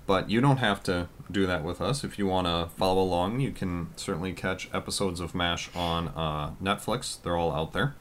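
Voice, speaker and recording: A man co-hosting a casual podcast, talking loudly and rambling with lots of fillers. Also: low voice, normal speed, hardly any pauses, slight background noise. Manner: loud, rambling with many fillers. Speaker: podcast co-host.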